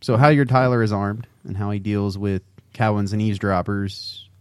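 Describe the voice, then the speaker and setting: A man's voice: on the low side, steady tone, normal speed, clear, resonant tone, no echo, clean studio sound. A man co-hosting a podcast, chatting casually in a wry, teasing way. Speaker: podcast co-host. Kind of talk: casual banter and discussion.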